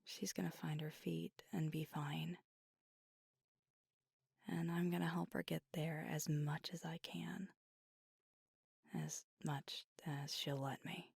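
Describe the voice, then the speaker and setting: A woman acts out a fiery, proud werewolf girlfriend, worn out and confiding late at night. Her voice is low-set, husky and gravelly, often sinking into a breathy whisper. She talks haltingly in an intimate ASMR roleplay. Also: no echo, clean studio sound, full character voice. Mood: tender, reflective. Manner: hushed, halting, sighing, often slipping into whisper.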